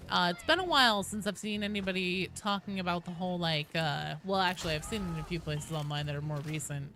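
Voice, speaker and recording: Animated woman livestreamer over background noise. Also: mid-range voice. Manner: animated, sweary, self-deprecating banter. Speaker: livestream host. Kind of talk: casual game-stream chat, answering viewer comments. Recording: clear background noise behind voice.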